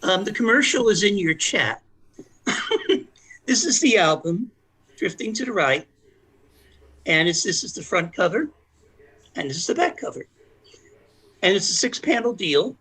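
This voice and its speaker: A man with a bright, high voice that swoops up and down talks slowly and hesitantly. He laughs and trails off mid-sentence. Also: sing-song, breathy edge, low-quality recording. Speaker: open mic guest showing his new album. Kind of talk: casual chat.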